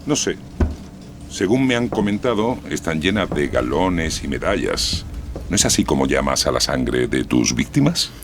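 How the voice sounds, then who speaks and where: Very deep, gravelly police interrogator. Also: male voice, big pitch swings, moderate pace, noisy background, heavily acted. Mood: sardonic, pressing. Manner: sarcastic, pressing. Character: sardonic, relentless male police sub-inspector interrogating a suspect. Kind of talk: dramatized true-crime podcast acting.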